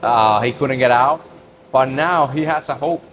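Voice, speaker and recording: Man, relaxed chatty co-host, salty slang, noisy room tone. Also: mid-range voice, measured pace. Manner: laid-back, swears, mixes Spanish slang. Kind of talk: casual podcast conversation. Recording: noisy ambience.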